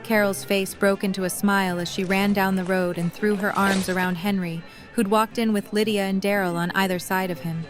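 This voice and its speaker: A woman reads scripted narration for an audio drama. Her voice sits at a middle pitch, with faint music underneath. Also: fairly even intonation, brisk, few pauses, a crowd in the background.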